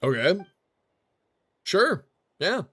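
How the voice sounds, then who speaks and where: Animated male game streamer, slightly high. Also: extremely slow. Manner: casual, slangy. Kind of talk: casual live reaction commentary.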